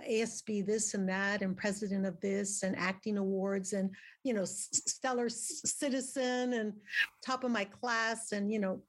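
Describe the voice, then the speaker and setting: An older woman from Mexico, a native Spanish speaker, telling her life story as a podcast guest in a lively, laughing way with many restarts. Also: fairly high pitch. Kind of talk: casual storytelling interview.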